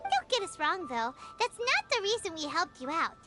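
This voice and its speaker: A woman voicing a tiny childlike fairy companion in a video game, squeaky, perky and blunt. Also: wildly swooping pitch, normal speed, heavily acted. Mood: chirpy. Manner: perky, blunt, refers to herself in third person. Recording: loud game music under the voice.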